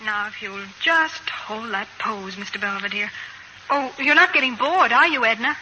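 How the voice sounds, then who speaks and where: Bright, spirited housewife. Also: female voice, fairly high pitch, expressive, normal speed. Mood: amused. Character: spirited, loyal suburban housewife. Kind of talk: 1940s radio comedy acting. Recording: slightly noisy old radio broadcast.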